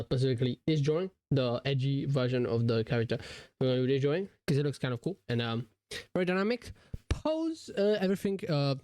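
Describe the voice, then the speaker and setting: A man's voice: medium pitch, darkish tone, no room sound. Rambling young man with a cold. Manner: rambling, many fillers and restarts. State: has a cold, voice deeper than usual. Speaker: digital art YouTuber. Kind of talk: casual voice-over narration of a drawing video. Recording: faint guitar music behind. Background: faint guitar music.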